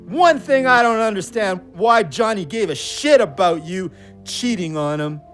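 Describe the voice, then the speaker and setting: Resentful, hot-tempered ex-convict. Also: male voice, sits high, lively intonation, unhurried, a bit bright, soft background music. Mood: scornful. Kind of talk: audio drama acting.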